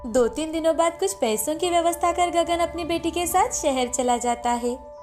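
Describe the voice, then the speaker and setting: A woman's voice: very high voice, moderate pace, a little mellow, faint music behind. A woman narrator reading a scripted moral story for children.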